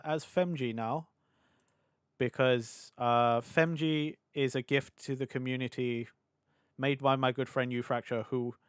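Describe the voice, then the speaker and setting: A young British man streaming live, rambling and laughing as he chats with his audience, his voice a little hoarse from hours of talking. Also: medium pitch, no echo, studio quality. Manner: chatty, scattered, quick to laugh. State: slightly hoarse. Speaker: VTuber streamer. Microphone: desk mic on a boom arm.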